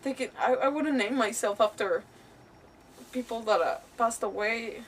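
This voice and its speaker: A woman live streamer giving casual, animated commentary on a game, thinking aloud in a giggly, chatty way. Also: fairly high pitch, moderate pace, a little hiss or hum. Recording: slightly distant mic, faint game music. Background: faint game music.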